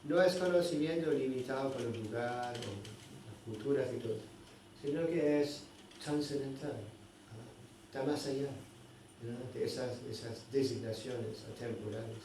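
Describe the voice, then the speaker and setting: A man giving a scripture class, often pausing to search for words and checking in with his listeners with a questioning tag. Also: medium pitch, a little mellow. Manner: searching for words, constant "¿no?" tags. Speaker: spiritual teacher. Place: indoor temple hall. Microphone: microphone at a distance. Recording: very echoey hall, distant mic, faint noise.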